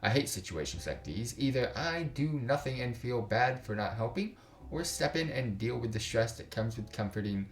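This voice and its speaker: A man's voice: mid-range voice, animated, moderate pace, roomy sound. A young man live streaming a game, reading story text aloud with some stumbles and slangy, joking asides. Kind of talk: casual livestream, reading visual novel text aloud with side comments. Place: indoors at a computer.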